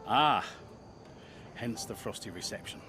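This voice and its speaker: A man's voice: fairly low pitch, animated, a little slow, background noise. A man acting a shrewd team boss in a game cutscene, probing and sardonic, with a blunt turn of phrase.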